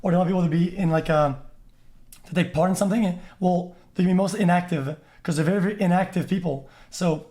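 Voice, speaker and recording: A man's voice: sits high, quick pace, warm tone. A young man streaming a casual reaction, blunt and crude, rambling with many restarts.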